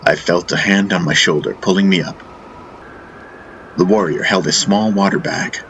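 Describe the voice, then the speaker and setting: A man reading a first-person fantasy audiobook as narrator, in a solemn, deliberate, dramatic style. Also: sits low, steady tone, fairly bright tone, a little hiss or hum. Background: faint music.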